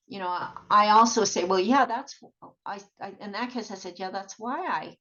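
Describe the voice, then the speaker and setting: A woman talks in a fairly low voice with a lot of gravelly fry. She rambles casually as a video blogger would, halting, repeating herself and trailing off. The recording is dull and lo-fi. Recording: dull, muffled lo-fi sound.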